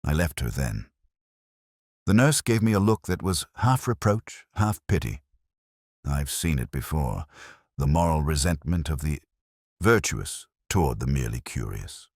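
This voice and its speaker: A man playing a weary, erudite police inspector, narrating his case in a measured, melancholy, sardonic way as a literary audio drama. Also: very deep voice, sing-song, no echo, clean studio sound, full character voice. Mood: brooding.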